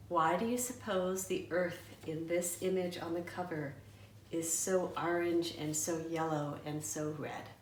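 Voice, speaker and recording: Low-voiced woman in an echoey room. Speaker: author. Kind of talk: book trivia presentation. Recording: echoey room, distant mic, faint noise.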